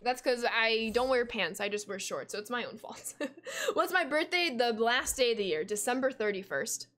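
A woman in her twenties streaming a video game from home, chatting casually with viewers, reading chat aloud and gasping and laughing in bursts of excitement. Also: fairly high pitch, normal speed, slight background noise. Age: 20s. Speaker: gaming streamer. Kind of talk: casual live-stream chat while playing. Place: home room at a desk.

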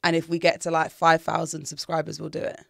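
A laid-back man co-hosting a casual podcast, often laughing and quick to answer back. His voice is a little higher than most men's and carries a lot of gravelly fry. The sound is dry and close. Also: monotone, fairly bright tone, no echo. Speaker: podcast co-host. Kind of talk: casual chat.

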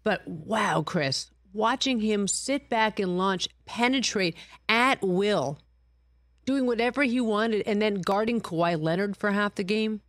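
A woman's voice: mid-range voice, measured pace, no room sound, clean studio sound. Wry woman hosting sports talk. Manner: lively, wry and playful. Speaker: guest host. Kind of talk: sports talk show chat. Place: TV studio. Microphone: desk broadcast mic.

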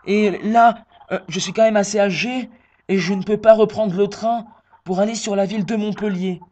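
A man's voice: high-pitched, steady tone, normal speed, a little mellow, natural voice. Man acting confused, naive elderly customer on phone. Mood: naive, puzzled. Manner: hesitant, naive. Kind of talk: prank phone call. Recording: phone line with noise.

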